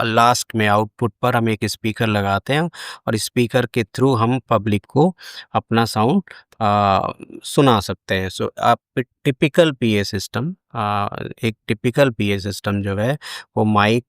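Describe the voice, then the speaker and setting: A man's voice: on the low side, no echo, studio quality. A man with an Indian accent giving an explanatory lecture as a teacher, switching between two languages and restating his points. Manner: repeats phrases in both languages. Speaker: electronics lecturer. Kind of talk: explanatory lecture mixing Hindi and English.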